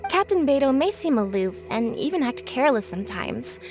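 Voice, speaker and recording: A woman's voice: high voice, sing-song, a little fast. A woman voicing a polite, earnest female sailor who is loyal to her captain, in scripted video game dialogue with music behind. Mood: earnest admiration.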